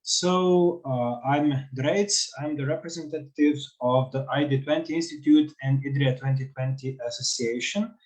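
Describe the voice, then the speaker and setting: A man's voice: medium pitch, a little mellow, low-quality recording. A man in his thirties with a Slovenian accent, explaining his organization's work earnestly on a video call. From western Slovenia. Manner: earnest, explanatory, some halting. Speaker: panelist, cultural heritage organization representative. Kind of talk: panel discussion answer.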